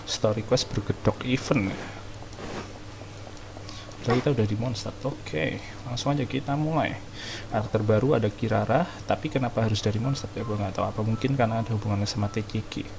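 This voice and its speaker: Low, gravelly young Indonesian man commentating games. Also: fast, noisy background. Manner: informal, slangy. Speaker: gaming YouTuber. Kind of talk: casual let's play commentary.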